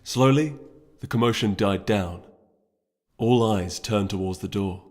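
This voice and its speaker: A man reading a story aloud as dramatic, solemn and deliberate scripted narration. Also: on the low side, a little mellow, faint music behind. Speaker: story reader.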